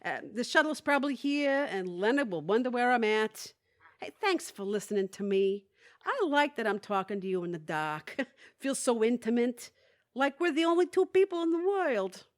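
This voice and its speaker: A woman's voice: fairly high pitch, sing-song, normal speed, no echo, studio quality. An actress playing a plain-spoken, wry, hesitant woman who longs to be braver, in an audio play. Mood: wry, fond. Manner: folksy, candid, wry. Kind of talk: audio theatre acting.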